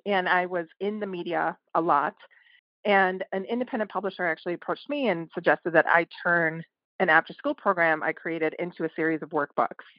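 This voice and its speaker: A woman's voice: on the low side, fairly quick, dry recording, dull audio. A woman who runs a publishing house, speaking as a podcast guest in a thoughtful, conversational way with occasional fillers. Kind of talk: casual podcast interview.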